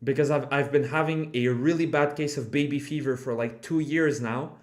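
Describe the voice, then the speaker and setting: A man's voice: mid-range voice, fairly even intonation, moderate pace, reverberant. Rambling young man streaming at home. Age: 20s. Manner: rambling, filler-heavy, swears often, talks to chat. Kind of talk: casual live-stream chat. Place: home room.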